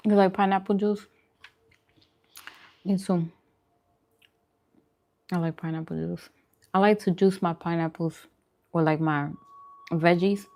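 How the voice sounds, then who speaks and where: A woman's voice: on the low side, steady tone, measured pace, pauses often, a little mellow. A woman vlogger chatting casually while eating, talking in an informal, musing, conversational way. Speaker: vegan food vlogger.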